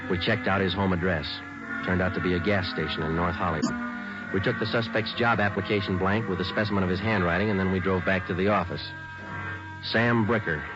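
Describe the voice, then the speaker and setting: Brief: actor as a deadpan radio cop, very deep voice, rapid clipped reports, lo-fi vintage airshow sound. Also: male voice, lightly acted. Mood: narrating. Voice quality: flat, clipped, matter-of-fact. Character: terse, businesslike male police sergeant. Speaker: police detective and narrator. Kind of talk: 1950s radio crime drama. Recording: muffled vintage radio broadcast with music.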